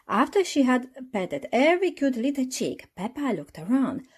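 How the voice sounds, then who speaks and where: A woman reading a children's storybook aloud in an expressive narrating style. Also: high-pitched, normal speed, husky. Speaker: storybook reader.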